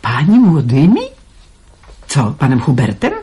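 An actor voicing a calm elderly neighbour woman in an amateur radio play, soothing and wise. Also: mid-range voice, a little slow, slightly rough, heavily acted. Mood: consoling.

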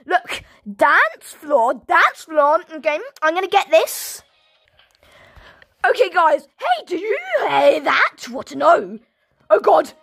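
A young woman giving excitable running commentary on her gameplay, repeating herself and shouting, her throat sore and coughing. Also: very high-pitched, slow, creaky, faint music behind. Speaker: gaming channel host. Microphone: phone.

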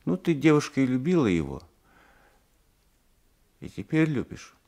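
An actor playing a meek old peasant husband in a radio play, speaking in a halting, subdued way. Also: male voice, medium pitch, very animated, creaky, warm tone. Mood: meek, hesitant. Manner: halting, subdued, mumbling repetitions. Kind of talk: 1980s radio play acting.

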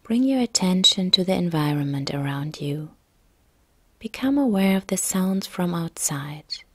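A woman yoga nidra instructor narrating a guided relaxation meditation in a calm, soft, gentle, breathy way. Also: lowish voice, unhurried, dry recording.

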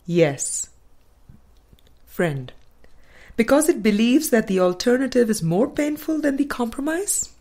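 A woman reading a spiritual book aloud in a measured, deliberate and formal way. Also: medium pitch, a little mellow. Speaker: audiobook reader.

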